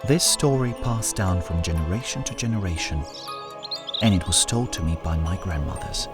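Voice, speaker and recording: A man narrating a scripted folktale as a storyteller, in a measured, solemn manner with deliberate phrasing. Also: low voice, animated. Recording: studio narration over music. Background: music.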